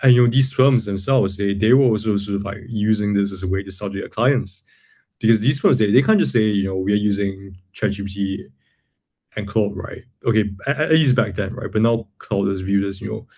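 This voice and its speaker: A young man from Singapore, a lawyer and software builder, explaining his views in a casual interview, with many restarts and repeated words. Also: lowish voice, fairly quick. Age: young adult.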